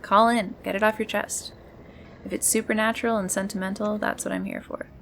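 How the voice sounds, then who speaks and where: Creaky-voiced, mysterious radio host. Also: female voice, medium pitch, flat delivery, a bit bright, a little hiss or hum. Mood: inviting, wry. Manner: measured, cryptic, intimate. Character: mysterious, tender woman hosting an otherworldly late-night radio show. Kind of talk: scripted monologue in a fiction podcast styled as radio.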